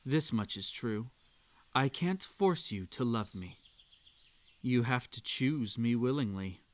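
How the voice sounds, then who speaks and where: A man's voice: mid-range voice, animated. A man voicing an obsessive magical bunny in a hypnotic audio roleplay, coaxing and soothing with a desperate edge. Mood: resigned, pleading. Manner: slow, soothing, coaxing delivery. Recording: nature ambience behind the voice.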